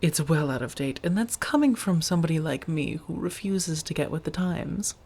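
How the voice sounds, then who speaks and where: A woman acting as an affectionate female vampire roommate in an ASMR roleplay. Her voice is low and husky, with soft breath around the words. She speaks intimately to one listener, teasing gently and looking after them. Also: animated, full character voice. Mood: curious, teasing. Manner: gently teasing, drawn-out phrasing, soft chuckles.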